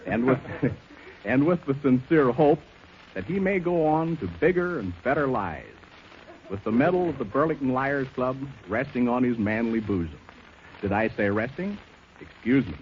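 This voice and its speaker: A man's voice: mid-range voice, animated, moderate pace, highs cut off, slight background noise. A man giving a formal, mock-serious speech as a guest on 1930s radio, oratorical in manner, on an old broadcast recording. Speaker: guest speaker, club president.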